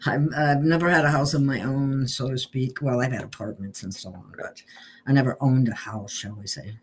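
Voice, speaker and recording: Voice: medium pitch, normal speed, slightly rough. A show host chatting casually, rambling and laughing often between remarks. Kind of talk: casual online chat.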